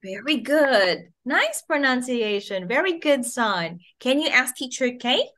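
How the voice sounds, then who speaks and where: A woman in her twenties from the Philippines, teaching English to a child over a video call and modelling phrases slowly in a sing-song way. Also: high-pitched, lively intonation, fairly bright tone. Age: 20s.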